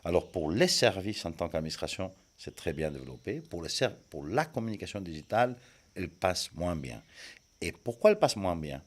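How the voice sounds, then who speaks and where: A man's voice: deep voice, sing-song, a little fast. A man who is not a native French speaker, answering interview questions hesitantly, with many fillers and restarts. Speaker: guest, communication expert.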